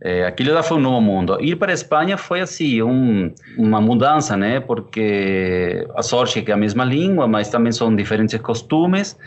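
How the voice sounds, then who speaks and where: A man's voice: medium pitch, expressive, highs cut off. Argentine man, easygoing interview answers. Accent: Argentine Spanish. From Córdoba, Argentina. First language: Spanish. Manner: unhurried, thoughtful, lots of "é" fillers. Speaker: chef and pizzeria partner.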